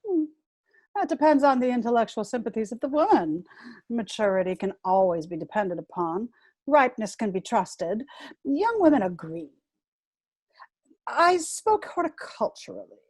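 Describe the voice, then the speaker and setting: Woman doing a coy moralizing middle-aged governess for a stage play, husky, very swoopy delivery. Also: a little high, sing-song, normal speed, a little mellow, dry recording. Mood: coyly moralizing. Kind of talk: dramatic reading of a stage comedy.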